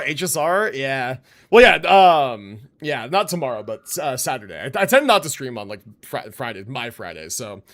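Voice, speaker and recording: A man's voice: fairly high pitch, a bit bright. A young man chatting casually to his livestream viewers as a game streamer, informal and rambling, with free swearing.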